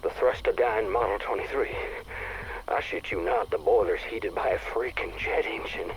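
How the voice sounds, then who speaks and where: An actor playing a laid-back, rough-edged, colloquial man rambling haltingly in a video game audio log. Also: on the low side, close to an everyday voice, low-quality recording. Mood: amused, wry. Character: easygoing, salty bourbon-loving man, wry and rough-edged.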